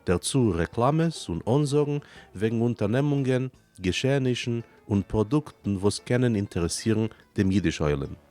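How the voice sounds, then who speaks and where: A man reading a scripted promo for a radio program in a steady, listing delivery. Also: fairly low pitch, normal speed, soft background music. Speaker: station announcer.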